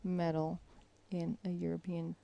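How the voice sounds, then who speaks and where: Quiet, measured female bowling analyst. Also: fairly low pitch, little pitch movement, vocal fry, highs cut off. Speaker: bowling commentator. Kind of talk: live sports commentary. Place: bowling arena with crowd. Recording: crowd and noise behind.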